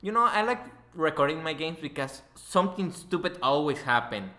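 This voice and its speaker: Bright-voiced young man, echoey room. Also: a little high, lively intonation, normal speed. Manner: joking, sweary, informal. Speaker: podcast host, interviewer. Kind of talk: casual chat.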